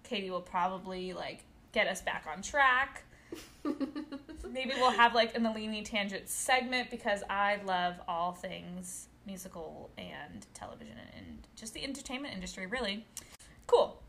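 A woman in her late twenties, originally from Brazil, co-hosting a casual podcast chat, outspoken and quick to laugh. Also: medium pitch, expressive, a bit bright. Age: late 20s. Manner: chatty, outspoken, laughs a lot. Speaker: podcast co-host.

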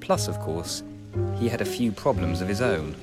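An actor playing an earnest, polite young police constable in a radio comedy, speaking formally and precisely. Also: male voice, low-pitched, animated, normal speed, loud music behind the voice. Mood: anxious musing. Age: young adult. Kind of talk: radio comedy drama, first-person narration.

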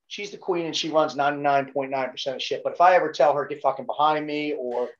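A man's voice: fairly high pitch, steady tone, fast talker, dull audio. A middle-aged man with a Baltimore accent, a podcast guest telling laid-back, profane stories in casual chat. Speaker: podcast guest, former Navy SEAL.

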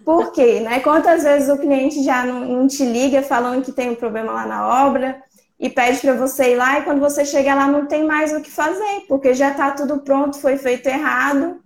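A woman's voice: sits high, moderate pace. A Brazilian woman from Espírito Santo, an architect, explaining her work in an earnest, conversational way over a video call. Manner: earnest, conversational, steady tag questions. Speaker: architect, interview guest. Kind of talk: casual explanatory talk on a live stream. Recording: roomy echo.

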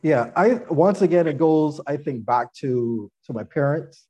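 A man's voice: fairly high pitch, expressive, measured pace, dark tone. A man being interviewed on a podcast, telling earnest stories about his upbringing and laughing now and then. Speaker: guest, former athlete and attorney.

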